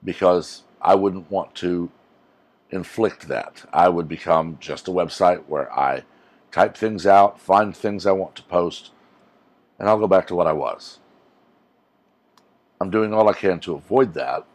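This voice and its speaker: A deep, low-pitched man in his forties talks straight to camera in a casual, rambling rant. He sounds earnest and emphatic, recorded close on a lapel mic in a small indoor room. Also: a little slow, long pauses. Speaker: YouTuber.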